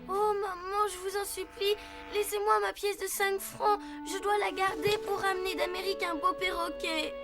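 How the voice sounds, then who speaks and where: A woman playing a spirited, mischievous and expressive little girl in a French cartoon. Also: very high voice, moderate pace, hardly any pauses, over music. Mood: pleading. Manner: expressive, pleading and protesting. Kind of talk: French animated series dubbing.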